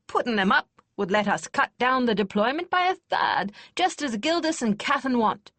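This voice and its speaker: A loud, hearty old noblewoman, as a female narrator puts her on, pitched up high and swinging wildly in tone. She booms and teases with blunt, emphatic force. The storybook reading is close and dry. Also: animated, unhurried, no room sound. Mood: scornful. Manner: booming, teasing, emphatic. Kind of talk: audiobook character voice.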